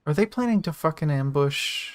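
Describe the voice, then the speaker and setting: A woman's voice: deep voice, expressive, normal speed, a little mellow. A woman doing casual live game commentary in a blunt, sarcastic way, with plenty of swearing. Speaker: game streamer. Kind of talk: casual live gameplay commentary, reading dialogue aloud.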